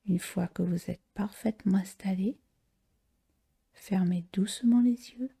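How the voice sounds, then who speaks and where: A woman guiding a relaxation meditation in a soft, whispery, breathy voice, slow and soothing, with long pauses. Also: medium pitch. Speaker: yoga nidra relaxation guide. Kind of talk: guided meditation.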